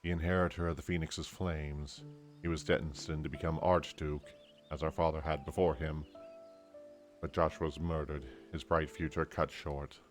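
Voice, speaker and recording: A man voicing a brooding young warrior in an amateur game dub, reading slowly and solemnly. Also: extremely low pitch, little pitch movement, soft background music. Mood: somber. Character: brooding young male warrior, guilt-ridden and grave.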